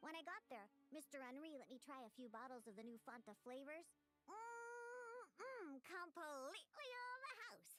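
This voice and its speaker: A pouty little game cat girl, super high n bouncy, feisty and boastful, bit of music behind. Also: expressive, normal speed. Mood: proud, excited. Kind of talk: video game voice acting.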